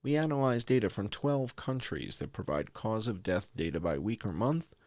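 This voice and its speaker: A man reads a formal research report aloud in a fairly low voice. His intonation swings widely, with deliberate, emphatic stress. The sound is close and dry, free of room echo. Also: sing-song, a little mellow. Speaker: host.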